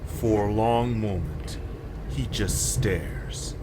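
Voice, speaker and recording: A man's voice: on the low side, very slow, background noise. A man giving scripted, dramatic narration for a story.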